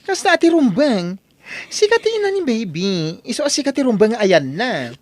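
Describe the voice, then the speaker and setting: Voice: medium pitch, sing-song, normal speed, dry recording. An actor playing an outspoken, sassy but kind-hearted friend in an Ilocano audio drama, exclaiming dramatically with an Ilocano accent. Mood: reassuring.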